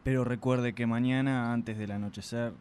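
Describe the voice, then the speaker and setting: An actor playing a formal, courteous middle-aged official in a radio drama. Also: male voice, mid-range voice, fairly even intonation, moderate pace, darkish tone, a little hiss or hum, lightly acted. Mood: formal, insistent.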